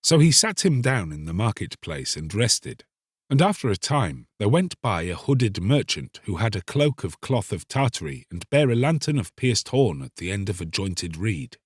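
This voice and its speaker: A man narrating a literary tale as a scripted audiobook, solemn, deliberate and theatrical. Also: on the low side, big pitch swings, breathy edge, dry recording, clean studio sound.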